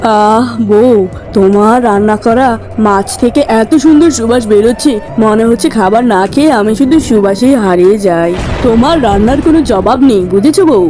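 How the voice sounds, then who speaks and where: A man's voice: sits high, moderate pace, music in the background, natural voice. An actor playing a cheerful, carefree fisherman husband in a fairy-tale cartoon, with a coaxing, exclamatory delivery. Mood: merry, coaxing.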